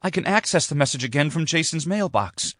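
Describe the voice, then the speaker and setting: A man's voice: a little high, expressive, a little fast, a bit bright, no echo, clean studio sound, pitched above the performer's own voice. A man reading aloud, voicing a frantic young woman on the run in a tense, urgent way. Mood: exasperated.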